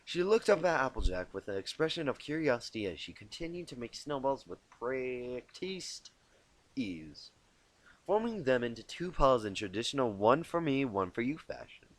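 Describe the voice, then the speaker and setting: A man narrating a story expressively as an amateur reader, informal and quick to correct himself. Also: mid-range voice, big pitch swings. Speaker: amateur fan fiction narrator.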